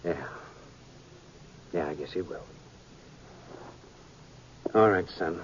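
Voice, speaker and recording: Low-pitched frontier sheriff, folksy, halting, radio western, muffled and lightly noisy recording. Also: male voice. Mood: regretful. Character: regretful, plain-spoken older small-town western sheriff. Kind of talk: 1950s radio western acting.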